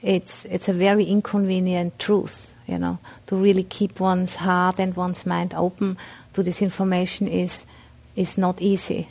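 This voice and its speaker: Low, gravelly Austrian-accented woman. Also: little pitch movement, darkish tone. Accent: Austrian German. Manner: halting, with frequent fillers and restarts. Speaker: Buddhist nun teacher. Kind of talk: dharma talk. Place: meditation center.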